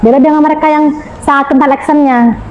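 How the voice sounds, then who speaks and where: A woman from Indonesia talks in a loose, storytelling way as a podcast guest, her voice sitting a little high. She scatters English words through her speech. The recording sounds dull and lo-fi, with faint music underneath. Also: fairly even intonation, quick pace. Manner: chatty, storytelling, often adds "Kak" to her sentences. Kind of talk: casual podcast chat.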